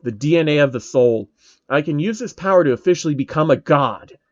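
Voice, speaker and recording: A man's voice: fairly high pitch, lively intonation, brisk, no room sound, lo-fi sound, lightly acted. A man voicing a grandiose, theatrical male game villain who declaims pompously in a story reading.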